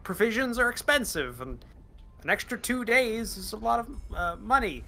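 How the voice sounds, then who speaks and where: Flustered cheapskate sea captain, played by a young man, halting and evasive, bright tone. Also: sits high, lively intonation, vocal fry, voice pushed up, full character voice. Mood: defensive. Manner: hesitant, evasive. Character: flustered stingy male ship captain.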